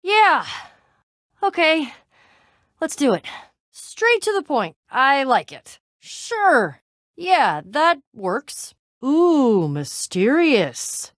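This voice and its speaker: A woman's voice: sits high, very animated, very slow, no room sound, studio quality, full character voice. An actress playing a tough, guarded young woman adventurer in a video game, speaking casually with wry exasperation and hesitant trailing-off.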